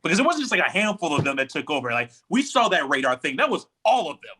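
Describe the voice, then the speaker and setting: A man's voice: a little high, very animated, quick pace, bright, forceful tone, breathy edge. A man speaking as a podcast panelist in a casual, conversational discussion of pop culture.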